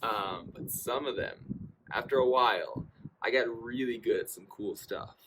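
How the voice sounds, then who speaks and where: A man's voice: medium pitch, a little slow, noisy background. A young man telling a personal anecdote in a casual, conversational way, acting out bits of dialogue as he goes. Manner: animated delivery, acting out quoted dialogue. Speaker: storyteller.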